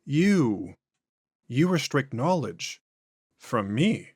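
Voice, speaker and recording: Man playing an eerie possessing entity, dragging slow speech, dry close sound, detached. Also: mid-range voice, very animated, breathy edge, no room sound, exaggerated voice. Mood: cold.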